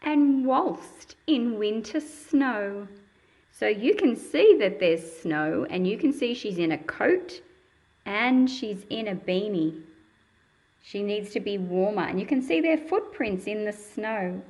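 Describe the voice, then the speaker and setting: A woman's voice: mid-range voice, animated, takes it slowly, darkish tone. A woman reading a picture book aloud to young children and explaining it in simple words, in a patient, teacherly manner.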